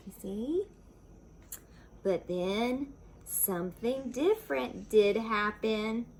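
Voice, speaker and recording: A woman reading a children's storybook aloud expressively, pausing to explain things simply for young listeners. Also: a little high, darkish tone, a little hiss or hum.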